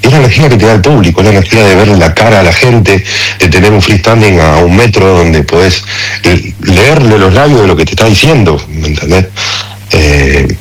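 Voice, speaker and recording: A man's voice: sits low, lively intonation, a little fast, breathy edge, rough recording. A middle-aged man with an Argentine accent, talking passionately and informally as a guest in a radio interview, often checking that he is understood. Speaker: interview guest, concert promoter.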